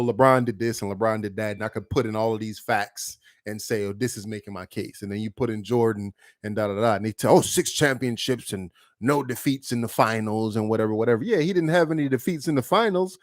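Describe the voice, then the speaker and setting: A man co-hosting a podcast in casual chat, talking in an animated, emphatic way with plenty of swearing. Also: medium pitch, dry recording, studio quality. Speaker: podcast co-host.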